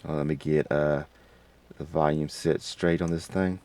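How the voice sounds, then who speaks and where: Deep-voiced man giving a casual tutorial. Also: steady tone, unhurried, darkish tone. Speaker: tutorial host. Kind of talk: casual, unscripted software tutorial.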